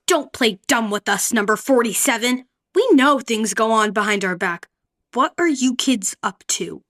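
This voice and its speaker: A woman's voice: a little high, lively intonation, moderate pace. A woman voicing a harsh, strict orphanage matron who scolds the children. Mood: stern. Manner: scolding, sharp commands.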